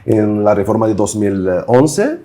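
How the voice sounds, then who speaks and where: A man who learned his Spanish later in life, speaking on an interpreters' panel. His voice sits low and sounds mellow and dark. He talks in an easygoing way, chuckling and filling his sentences with small words. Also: sing-song, unhurried. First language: not Spanish. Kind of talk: panel discussion.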